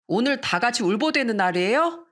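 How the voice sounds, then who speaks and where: A woman's voice: a little high, animated, a little fast, fairly bright tone, pitched above the performer's own voice. A woman voicing an innocent, curious young child in a story, artless and full of exclaimed questions. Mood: surprised, curious. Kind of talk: scripted story dialogue.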